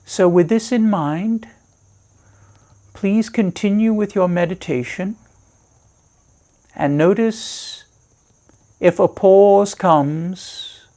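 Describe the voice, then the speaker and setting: Slow, high-voiced man guiding meditation. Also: mellow. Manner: measured, instructive, reassuring, with deliberate pauses. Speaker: meditation teacher. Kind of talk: guided meditation instruction.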